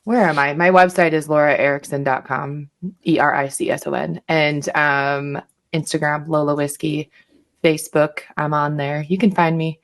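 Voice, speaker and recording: A woman's voice: low voice, fairly even intonation, normal speed. A woman with an American accent, a podcast guest giving casual advice in an informal, hedging way, sprinkled with "like" and "you know".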